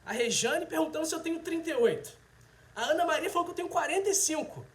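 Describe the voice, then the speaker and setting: A man's voice: extremely high pitch, animated, quick pace. A man of about thirty with a Brazilian accent, chatting casually and directly to viewers as a livestream host. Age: 30s. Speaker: host, health video presenter. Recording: roomy, echoing sound.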